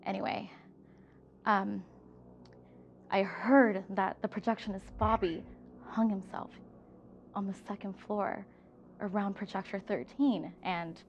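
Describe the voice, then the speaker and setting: An actress playing a young female theater coworker who tells a ghost story in a hushed, conspiratorial way, acting in a film drama. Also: mid-range voice, steady tone, takes it slowly, long pauses, lo-fi sound, a little hiss or hum.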